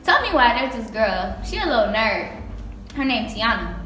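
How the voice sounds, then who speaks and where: A woman's voice: fairly high pitch, sing-song, normal speed. A woman acting a bossy, jealous teenage mean girl in a stage play, slangy and confrontational. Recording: echoey stage, clear background noise.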